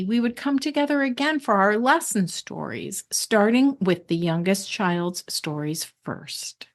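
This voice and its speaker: A woman podcast host with a mid-pitched voice delivers prepared narration. She speaks deliberately and leans on key phrases for emphasis. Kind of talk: scripted podcast narration about homeschooling.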